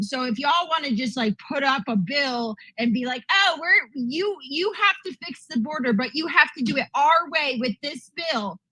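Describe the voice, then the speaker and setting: A woman's voice: high voice, bright, forceful tone, slightly rough, dry recording, low-quality recording. A woman in a live online audio room, arguing bluntly and crudely in a heated political debate. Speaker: panelist in live audio space.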